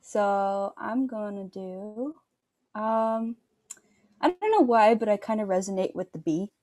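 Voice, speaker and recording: A woman's voice: mid-range voice, animated, measured pace, dark tone. A woman taking part in a workshop, chatting casually and tentatively, peppering her talk with slangy filler. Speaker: workshop participant. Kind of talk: casual chat.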